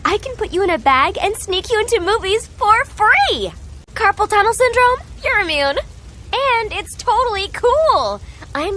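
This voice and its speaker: Very high-pitched perky cheerleader. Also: female voice, pushed and bright, slight background noise, full character voice. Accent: American. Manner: bubbly, breezy valley-girl talk. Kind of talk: animated game character acting.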